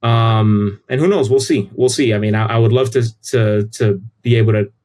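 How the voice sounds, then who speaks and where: Low-voiced man. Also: normal speed, a little mellow. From United States. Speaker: interview guest, tech company CEO. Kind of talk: casual conversational interview.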